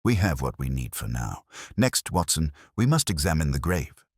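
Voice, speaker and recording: A man voicing a keen, coolly commanding Victorian detective in an audiobook, speaking precisely and deliberately. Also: very deep voice, very animated, slightly rough, no echo, studio quality, exaggerated voice. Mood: decisive, intrigued. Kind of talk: audiobook character dialogue.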